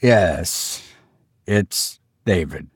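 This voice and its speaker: A man's voice: sits low, drawn-out words, husky, no echo, studio quality, pitched below the performer's own voice, exaggerated voice. A man voicing a weary, grief-stricken doctor and father in an audiobook, subdued and restrained. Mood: numb, monotone. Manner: monotone, subdued, tired. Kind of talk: dramatic audiobook character acting.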